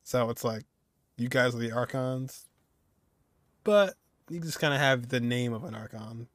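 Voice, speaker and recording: A man's voice: medium pitch, unhurried, no echo, clean studio sound. A man, giving casual reaction commentary as he streams a video game. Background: game music.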